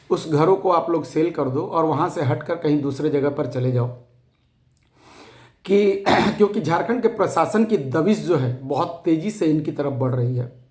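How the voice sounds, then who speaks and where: A man with a hoarse, scratchy throat giving an impassioned, defiant address to fellow depositors, repeating phrases for emphasis. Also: on the high side, a little mellow, roomy sound. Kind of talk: impassioned rallying address to followers.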